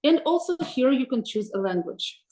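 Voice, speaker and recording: A woman's voice: high voice, lively intonation, normal speed, a little mellow. Woman, webinar presenter, hesitant and friendly delivery, roomy sound. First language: Russian. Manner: thoughtful, many ums and uhs, asks for next slide. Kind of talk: presenting slides in an online webinar.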